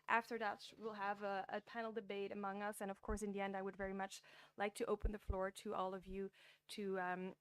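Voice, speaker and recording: A young woman from Belgium moderating a panel debate at a podium, speaking friendly English with many ums and restarts. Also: medium pitch. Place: conference room at a podium. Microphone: podium microphones.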